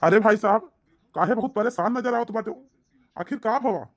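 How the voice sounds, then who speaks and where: Man voicing cartoon villager, high pitch, Awadhi accent, helpful, earnest, direct. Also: moderate pace. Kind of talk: amateur comedy cartoon dialogue in rural dialect.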